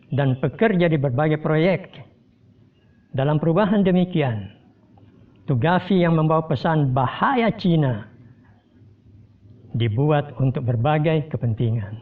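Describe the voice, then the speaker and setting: A man delivering a formal, measured scripted commentary to his viewers. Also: on the high side. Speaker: host giving a commentary. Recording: distant tinny phone-like sound.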